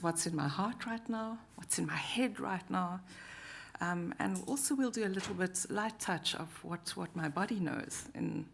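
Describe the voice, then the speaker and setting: South African woman with a low, gravelly voice, running a workshop at a live event. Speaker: workshop facilitator. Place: university meeting room.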